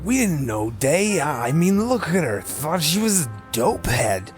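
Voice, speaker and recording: An actor playing a crude, lecherous drunk sidekick, a sneering street thug in a cinematic audio drama. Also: male voice, on the high side, sing-song, unhurried, faint music behind. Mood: grudging, defensive.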